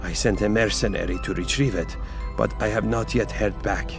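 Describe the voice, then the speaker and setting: A man playing an obsequious, scheming old royal advisor in a sci-fi audio drama, deferential and halting. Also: lowish voice, vocal fry. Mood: earnest, scheming. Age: older. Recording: music behind the voice.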